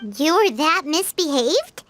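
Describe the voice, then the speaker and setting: A woman's voice: big pitch swings, takes it slowly, noisy background, heavily acted. A woman voicing a tiny, childlike, cheerful fairy companion in a video game, bubbly and curious. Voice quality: high, squeaky, childlike. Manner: bubbly, refers to itself in third person. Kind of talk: video game character acting. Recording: background music behind dialogue.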